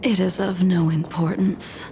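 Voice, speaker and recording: A woman's voice: fairly low pitch, level tone, unhurried, background noise. A woman voicing a composed, ancient swordswoman in a video game, speaking formally and with cool, teasing superiority. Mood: calmly challenging. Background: music behind the voice.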